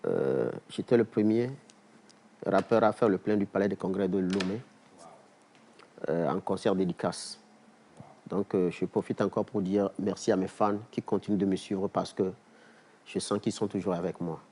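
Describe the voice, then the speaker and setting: Rappeur à la voix grave et hésitante. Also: male voice, sits low, normal speed, slightly rough. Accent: Togolese French. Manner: hesitant, many fillers, gets excited listing names. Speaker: rapper guest. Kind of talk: casual interview answers.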